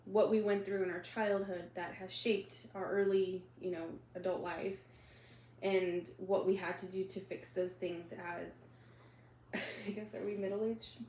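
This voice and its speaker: A woman in her thirties, from Texas, co-hosts a relaxed podcast with a teasing, playful manner. Her voice sits at an ordinary pitch. The room around her is echoey, with a faint hiss beneath. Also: unhurried. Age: 30s. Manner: joking, playful. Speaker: podcast co-host. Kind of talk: casual chat.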